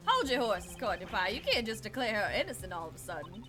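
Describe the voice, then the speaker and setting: A woman's voice: medium pitch, big pitch swings, fast, over music, lightly acted. A woman voicing a brash, insistent male defense attorney in a courtroom game, emphatic and pleading. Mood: protesting.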